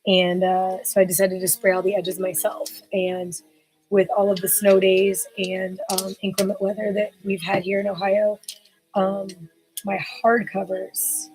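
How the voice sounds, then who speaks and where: A middle-aged woman with a hoarse, worn-out voice, an author talking casually on a live stream with halting speech and frequent filler sounds. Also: mid-range voice, monotone, a little mellow, rough recording. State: hoarse, losing her voice. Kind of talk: casual live-stream talk about her book.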